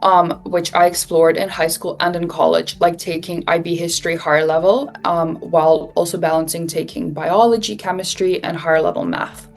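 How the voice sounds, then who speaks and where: A woman's voice: on the low side, level tone, moderate pace, few pauses, faint music behind. A young woman from Russia who grew up in Switzerland, a college student explaining admissions in a webinar with frequent fillers. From Russia, raised in Switzerland. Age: young adult. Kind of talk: webinar presentation.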